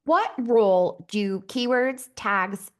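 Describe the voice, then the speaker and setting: A woman's voice: a little high, takes it slowly. Affirming woman hosting an interview. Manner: affirming, summarizes the guest's points before asking questions. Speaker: podcast host.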